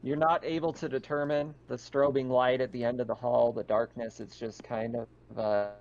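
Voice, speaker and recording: A man's voice: medium pitch, little pitch movement, normal speed, a little mellow, muffled recording, slight background noise. A man acting as game master, casually narrating a tabletop roleplaying session.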